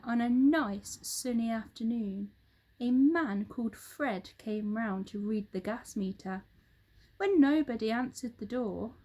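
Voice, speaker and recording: A woman narrates a children's story aloud. Her voice is high and very animated in pitch. She takes her time, giving each phrase expression.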